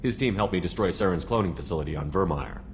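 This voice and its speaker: A low-voiced, quick military commander. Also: male voice, animated, slight background noise. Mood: steady. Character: calm male military commander, steady. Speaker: ship commander. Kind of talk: video game dialogue.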